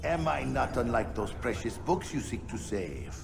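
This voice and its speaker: An actor playing a proud, scornful book-burning zealot in a video game, declaiming like a preacher. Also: male voice, mid-range voice, animated, moderate pace, gravelly, over music. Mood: dying, defiant. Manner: declamatory, preaching, rhetorical questions. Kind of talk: dramatic video game acting.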